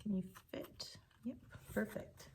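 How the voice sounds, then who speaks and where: A woman with a slightly croaky voice, chatting casually and thinking aloud while she works on a scrapbook page. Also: takes it slowly, a little mellow, whispered, rough recording. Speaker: scrapbooking creator. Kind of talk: casual crafting commentary.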